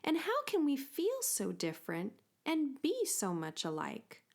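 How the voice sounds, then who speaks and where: A woman voicing an excitable, innocent and bewildered young bird with a chirpy manner, for a children's storybook. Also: high voice, unhurried, gravelly, pitched above the performer's own voice, full character voice. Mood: puzzled. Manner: chirpy, exclaiming.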